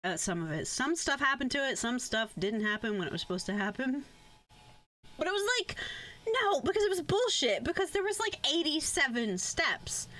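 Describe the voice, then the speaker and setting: Bright, high-voiced young woman streamer. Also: normal speed. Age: young adult. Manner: profane, animated rambling. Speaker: VTuber live streamer. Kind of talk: casual chat on a game livestream.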